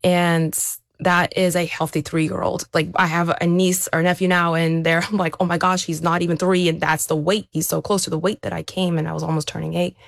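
Low, creaky-voiced woman speaking reflectively. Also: little pitch movement, brisk, few pauses, no room sound. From born in Ukraine, raised there to age seven, then America. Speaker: interview guest, elite athlete. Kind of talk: reflective personal account in conversation.

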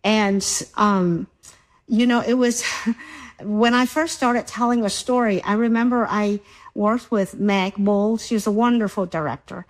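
A woman's voice: medium pitch, steady tone, a little slow, low-quality recording. A woman from Vietnam who speaks English with a Vietnamese accent, a storyteller talking reflectively on a panel, often restarting her sentences. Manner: reflective, with frequent restarts and "you know". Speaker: storyteller guest. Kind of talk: panel discussion.

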